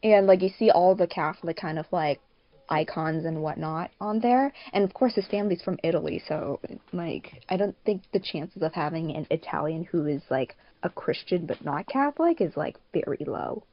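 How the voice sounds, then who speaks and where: A woman's voice: on the low side, expressive, normal speed. A woman chatting casually as a panelist on a group podcast. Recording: slightly dull lo-fi voice-chat audio.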